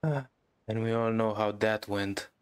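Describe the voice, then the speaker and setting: A man's voice: on the low side, steady tone, a little slow, clean tone. A man giving casual commentary as he plays a video game. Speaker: game streamer.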